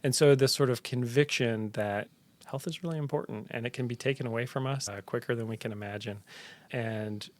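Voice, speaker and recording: A middle-aged man tells his story at a steady, thoughtful pace, earnest and reflective rather than showy. His voice sits at an ordinary male pitch. The sound is close and dry, with no room echo. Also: brisk. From Tanzania. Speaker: health center executive director, podcast guest. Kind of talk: conversational interview answers.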